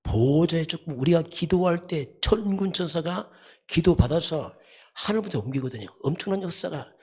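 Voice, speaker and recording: A pastor giving a sermon in an emphatic, repetitive teaching manner, often posing rhetorical questions, with a husky voice. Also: medium pitch, animated, normal speed, darkish tone. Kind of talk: dawn service sermon.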